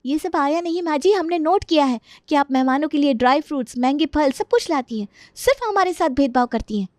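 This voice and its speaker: A woman voices a young daughter-in-law in a storybook family drama, with a high voice. She sounds aggrieved and sarcastic, complaining in a dry, close recording. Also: animated, a little fast, a little mellow, dry recording.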